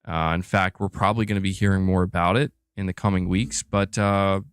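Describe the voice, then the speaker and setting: A man's voice: deep voice, fairly even intonation, a little fast, clean tone, dry recording. A man hosting a gaming news stream, talking casually and informally as if chatting with viewers. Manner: informal, conversational, responding to chat. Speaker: host of a gaming news livestream.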